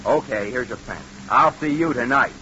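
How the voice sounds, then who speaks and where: An actor playing a fussy, scolding working-class plant employee in a folksy, excitable manner of 1940s radio drama. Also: male voice, mid-range voice, normal speed, close to an everyday voice. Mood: nagging, irritated. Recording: dull vintage radio, faint background noise.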